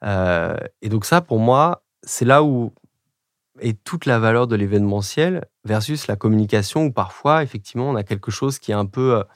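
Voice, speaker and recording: A man's voice: on the low side, lively intonation, moderate pace, dry recording, studio quality. A French man in his thirties, a podcast guest talking in a reflective, digressive way with many fillers and restarts. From France. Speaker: podcast guest, agency director. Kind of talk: conversational interview.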